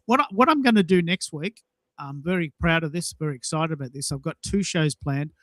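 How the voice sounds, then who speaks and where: An Australian man hosts a casual show with an enthusiastic, emphatic way of talking. His voice sits a little high for a man. The sound is dry, with very little room in it. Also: brisk, a bit bright, no echo. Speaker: show host. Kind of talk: casual chat.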